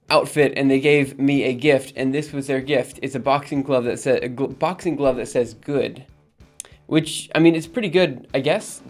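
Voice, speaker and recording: A man from Alabama hosting a live stream, chatting casually with viewers, rambling with frequent ums and laughs. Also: medium pitch. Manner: rambling, reads chat aloud and answers, frequent ums and laughs. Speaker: livestream host.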